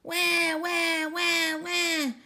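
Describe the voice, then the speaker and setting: A woman's voice: very high-pitched, completely flat delivery, extremely slow, pitched above the performer's own voice, exaggerated voice. A woman voicing a crying baby girl who wails over and over, for a storybook reading. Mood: wailing. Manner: loud repeated wailing.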